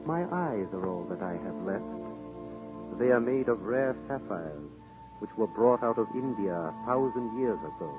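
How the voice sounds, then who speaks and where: Sweeping, solemn, sorrowful statue prince. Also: male voice, fairly low pitch, expressive, a little slow, phone-quality sound. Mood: sorrowful compassion. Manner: solemn, pleading, repeats himself. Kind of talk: 1940s radio acting. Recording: 1940s radio broadcast over music.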